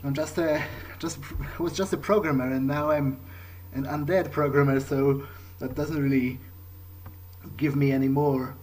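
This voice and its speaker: A man playing a slow, hesitant, bewildered young vampire. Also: mid-range voice, darkish tone, slight background noise, close to an everyday voice. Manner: halting, hesitant, searching for words. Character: bewildered newly turned vampire, former programmer, musing earnestly. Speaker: video game player speaking in character. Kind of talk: in-character thinking aloud during a playthrough.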